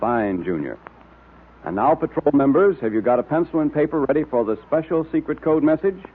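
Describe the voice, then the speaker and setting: A man announcing in an emphatic 1930s radio style, pitching to young listeners, on a tinny old recording. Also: lively intonation, a little fast, breathy edge.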